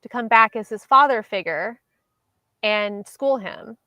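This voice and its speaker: Young woman, book club co-host, highish voice, dry close sound, measured and skeptical. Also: bright, forceful tone, dry recording. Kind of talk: casual book discussion.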